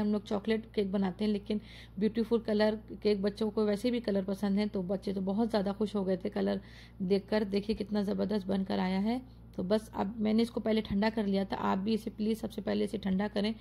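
A woman's voice: mid-range voice, creaky, warm tone. A woman narrating her home cooking tutorial, chatty and full of praise for the dish, giving viewers step-by-step tips. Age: adult, mother of children. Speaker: home cook and channel host.

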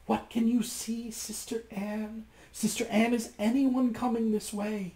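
A man's voice: high voice, lively intonation, a little slow, darkish tone, voice pushed up. A man voicing a frightened young wife who begs and calls out for help in a storybook reading. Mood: pleading. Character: frightened young wife pleading for her life. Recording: echoey room.

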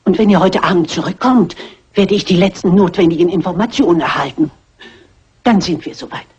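An elderly amateur lady detective in an old mystery film. Her voice is very husky, her manner brisk, polite and determined. The soundtrack is slightly dull and muffled. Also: lowish voice, darkish tone. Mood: confident, scheming. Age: older. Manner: brisk, polite, self-assured. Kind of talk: dubbed classic mystery film acting.

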